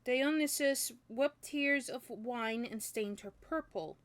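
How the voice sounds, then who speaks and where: A woman's voice: on the high side, takes it slowly, dry recording. Woman reading as courteous, curious young man. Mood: musing. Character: courteous, curious, learned young male shop customer.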